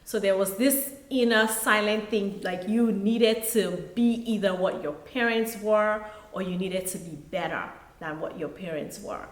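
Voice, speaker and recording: A middle-aged woman from Nigeria, an author and pharmacist, telling her life story as an interview guest in a relaxed, reflective way. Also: medium pitch, unhurried, few pauses. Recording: echoey room.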